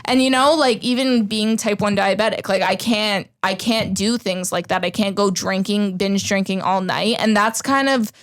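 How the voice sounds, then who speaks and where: A woman's voice: medium pitch, normal speed, few pauses. A woman in her twenties on a podcast, talking casually and emphatically, with many fillers. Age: 20s. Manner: animated, filler-heavy, emphatic. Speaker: podcast co-host. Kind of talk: casual chat.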